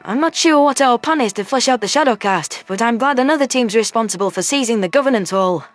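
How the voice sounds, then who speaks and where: A woman's voice: a little high, animated, quick pace, lightly acted. A woman playing a tough, sardonic human soldier with a dry, wry way of talking. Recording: music under the voice.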